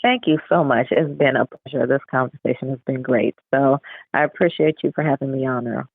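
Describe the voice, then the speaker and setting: Female author as podcast guest, conversational, noticeable creak, thin phone-quality call audio. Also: extremely low pitch, fairly quick, no echo. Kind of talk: conversational interview answers. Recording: muffled, phone-like narrow-band call.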